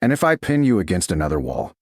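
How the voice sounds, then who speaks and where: A powerful medieval duke in a romance audiobook, as read by a man. He is restrained but intense, speaking low in quiet, deliberate murmurs. The sound is dry and close. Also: big pitch swings, no room sound, heavily acted. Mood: quietly teasing.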